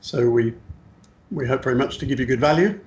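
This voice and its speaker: A man in his sixties with a slightly croaky voice, opening a webinar in a relaxed, hesitant way with many fillers. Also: mid-range voice, fairly quick. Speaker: webinar host and company founder. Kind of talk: live webinar welcome. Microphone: video call. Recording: dull lo-fi call audio with faint noise.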